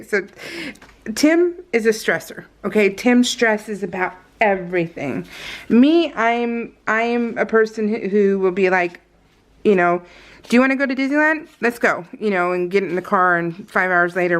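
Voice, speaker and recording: Chatty, rambling American woman telling stories. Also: medium pitch. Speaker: vlogger hosting a scrapbooking process video. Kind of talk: casual unscripted chat, storytelling.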